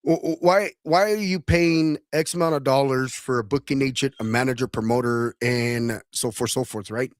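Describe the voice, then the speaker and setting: A man hosting a radio talk show, stumbling and repeating words as he rambles on. His voice sits in a middle pitch and sounds close and dry, with no room around it. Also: moderate pace, hardly any pauses, no echo.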